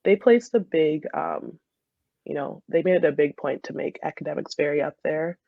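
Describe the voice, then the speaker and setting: A woman's voice: low-pitched, normal speed, creaky, no room sound, narrow, tinny audio. A young woman with an American accent, telling anecdotes casually as an interview guest and often giggling as she talks. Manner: giggly, laughs often while talking. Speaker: interview guest, former college soccer player.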